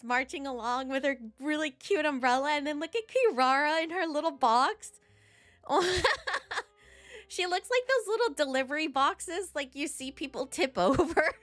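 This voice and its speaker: High-voiced, excitable woman game streamer. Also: no room sound. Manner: excitable, playful, giggly. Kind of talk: casual live playthrough commentary.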